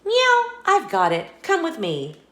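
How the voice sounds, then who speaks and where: Woman voicing an eager, lively storybook cat secret agent. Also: high voice, takes it slowly, roomy sound, pitched above the performer's own voice, exaggerated voice. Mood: excited. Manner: confident, upbeat, reassuring.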